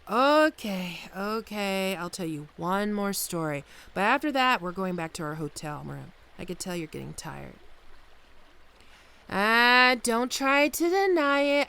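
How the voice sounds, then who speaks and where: A woman's voice: lowish voice, fairly bright tone. A woman playing an affectionate, wry bodyguard wife in an intimate, soothing ASMR roleplay. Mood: tender, coaxing. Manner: soothing, playful, storytelling. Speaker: voice actor in an audio roleplay. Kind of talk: ASMR roleplay, intimate one-sided dialogue.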